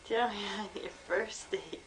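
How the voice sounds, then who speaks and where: A young woman chatting casually, playfully coaxing answers while filming at home. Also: mid-range voice. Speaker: granddaughter filming and asking questions. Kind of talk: casual chat. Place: small home, indoors. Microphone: handheld camcorder, close behind camera. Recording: noisy amateur home video.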